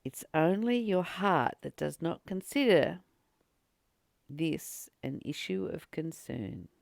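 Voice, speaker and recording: Low-voiced woman, gravelly and slow. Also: lively intonation, darkish tone, no echo, studio quality. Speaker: spiritual teacher and channel host. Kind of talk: spiritual book study, reading aloud with reflective commentary.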